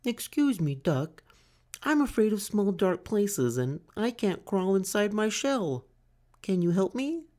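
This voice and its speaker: Storybook young turtle: small, high, polite, timid and pleading, with slow careful phrasing. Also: male voice, sing-song, darkish tone. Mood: polite, worried. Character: timid, earnest little boy turtle, polite and afraid.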